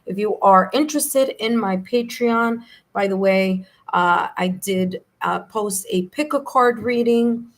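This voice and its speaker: A woman with a New York accent and a slightly hoarse, raspy voice, hosting a live tarot stream in a friendly, chatty way. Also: mid-range voice, measured pace, hardly any pauses. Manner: friendly, chatty, laughs easily. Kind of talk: casual live-stream chat, greeting viewers.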